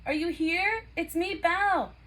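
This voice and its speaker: A woman's voice: a little slow, fairly bright tone. A woman playing a brave, kind young heroine in a storybook, earnest and determined. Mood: searching. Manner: earnest, pleading, raised pitch.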